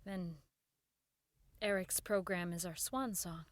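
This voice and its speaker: A woman's voice: fairly low pitch, steady tone, takes it slowly, no room sound, studio quality. A woman acting in a radio drama as an earnest, idealistic broadcaster, restrained and a little hesitant. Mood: anxious.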